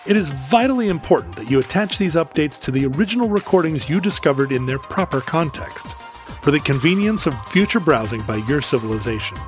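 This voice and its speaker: A man co-hosting a podcast in casual chat, with dry humor, who closes the show in a mock-grand formal tone. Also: on the high side. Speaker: podcast co-host.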